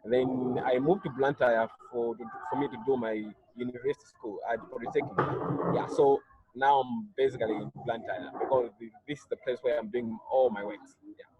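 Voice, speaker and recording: A young man with a Malawian accent, speaking as a guest in an interview in a soft, hesitant way over a video call. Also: medium pitch, fairly even intonation, normal speed, muffled recording, noisy background. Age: young adult. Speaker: guest artist.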